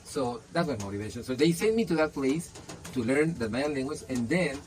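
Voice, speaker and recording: A man's voice: mid-range voice, lively intonation, fairly quick, darkish tone, low-quality recording. A man whose first language is Spanish, answering interview questions in an earnest, casual way, with many restarts and "you know".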